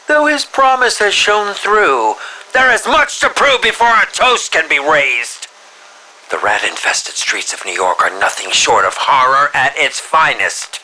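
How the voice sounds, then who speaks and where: An actor playing a pompous, calculating villain who savors horror, delivering an ornate, theatrical and deliberate monologue for a video game. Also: male voice, on the high side, pushed and bright, heavily acted, low-quality recording. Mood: admiring intrigue. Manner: ornate, deliberate, theatrical, breathy dips into near-whisper. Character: sinister, pompous male filmmaker villain, coolly calculating connoisseur of horror.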